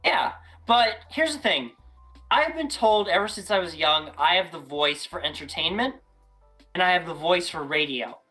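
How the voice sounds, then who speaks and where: A woman talking as a guest on a casual podcast, chatty and animated, often restarting her sentences. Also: lowish voice, normal speed, faint music behind.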